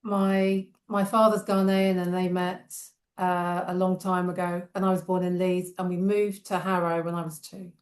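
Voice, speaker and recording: A woman's voice: lowish voice, little pitch movement, moderate pace, hardly any pauses, darkish tone, echoey room. A woman in her fifties from England with a London accent touched by a Northern edge, a charity guest talking thoughtfully in long reflective runs. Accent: London English with a slight Northern edge. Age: 50s. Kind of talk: reflective interview talk.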